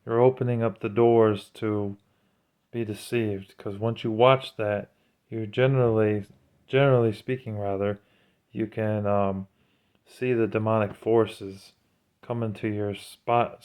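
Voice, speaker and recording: Guy with a lowish voice doing a casual preachy vlog, earnest and kinda warning people. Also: steady tone, measured pace, darkish tone, smooth. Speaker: vlogger giving religious commentary. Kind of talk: informal sermon-like monologue.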